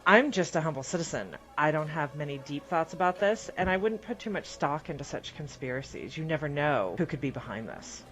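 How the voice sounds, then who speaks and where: A man's voice: on the high side, lively intonation, brisk, hardly any pauses, fairly bright tone, soft background music, poor audio. A man playing a humble-seeming but slyly evasive citizen in a fantasy radio news parody, insinuating and then disclaiming. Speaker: interviewed citizen.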